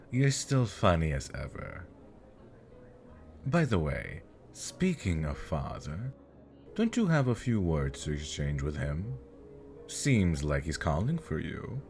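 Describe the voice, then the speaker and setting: A man's voice: sits low, sing-song, takes it slowly, pauses often, darkish tone, soft background music, full character voice. A man playing a smug, teasing elder demon nobleman with mocking politeness and flirtatious charm, in an ASMR fantasy roleplay. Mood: amused, sardonic.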